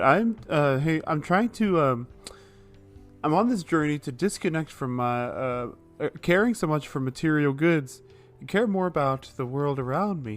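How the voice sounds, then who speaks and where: Very animated man playing a tabletop game. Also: fairly high pitch. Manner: animated, exclaiming, swears. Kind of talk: casual chat. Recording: music behind the voice. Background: background music.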